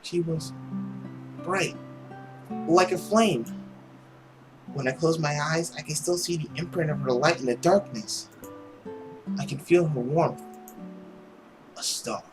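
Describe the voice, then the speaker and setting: Soft, solemn young woman, read by a man. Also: on the high side, takes it slowly, pauses often. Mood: grieving, wistful. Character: grieving, gentle young orphan woman. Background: guitar music.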